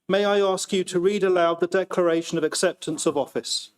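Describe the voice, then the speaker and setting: A man's voice: high-pitched, steady tone, brisk, clear, resonant tone. A man conducting a council ceremony, making polite, formal procedural announcements. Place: council chamber.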